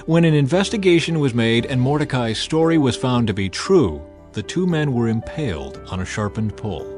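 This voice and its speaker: A man reading scripture as a dramatic, expressive scripted narration. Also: medium pitch, moderate pace. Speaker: Bible narrator. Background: music.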